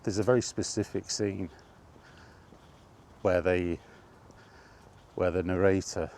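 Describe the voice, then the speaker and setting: Man, very low voice, casual walking monologue, rambling, self-correcting, faint outdoor noise. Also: fairly even intonation, slow, a little mellow. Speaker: vlogger. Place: outdoors on a walk.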